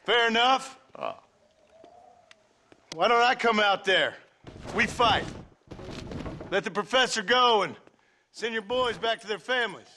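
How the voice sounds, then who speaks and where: An actor playing a defiant, laconic gunslinger in a western video game, steady and sardonic. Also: male voice, high voice, unhurried, long pauses, a little hiss or hum. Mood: defiant, calm. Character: steady, defiant male gunslinger, dryly challenging.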